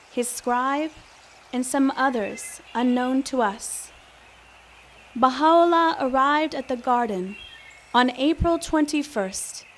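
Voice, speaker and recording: A woman giving solemn, measured dramatic narration from a script in a reverent manner. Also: high voice, a little hiss or hum.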